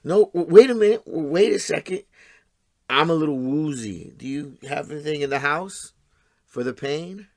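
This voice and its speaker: A man's voice: on the high side, expressive, normal speed, darkish tone, no room sound, studio quality. An actor playing a resentful, combative blue-collar man in an audio drama, plain-spoken, sardonic and argumentative. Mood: pained, cajoling. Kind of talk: stage-style audio drama acting.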